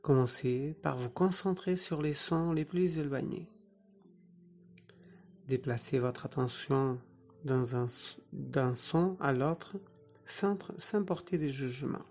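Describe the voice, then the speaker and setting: A yoga nidra teacher guiding a relaxation meditation in short, soothing phrases with pauses between them. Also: mid-range voice, darkish tone.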